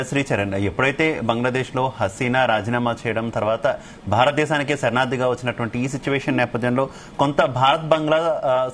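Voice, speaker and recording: A man's voice: medium pitch, a little hiss or hum. A man anchoring live news and putting long, rolling questions to a correspondent.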